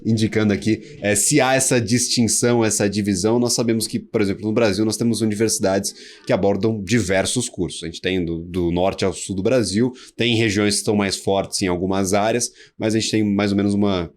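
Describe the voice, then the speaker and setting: A man's voice: on the low side, steady tone, a little fast, hardly any pauses. A Brazilian man with a Brazilian Portuguese accent hosting a debate show, asking long, rambling questions full of restarts. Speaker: host.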